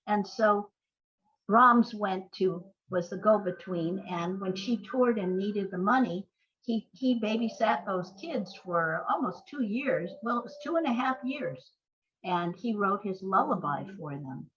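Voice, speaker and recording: An older woman talking as an interview guest, telling stories conversationally in halting, reflective phrases. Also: medium pitch, animated, a bit bright, muffled recording, slight background noise. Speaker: playwright and screenwriter guest.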